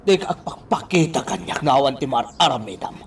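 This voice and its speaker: Radio drama: actor as menacing boss, commanding, threatening. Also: male voice, mid-range voice, sing-song, fairly quick, faint music behind.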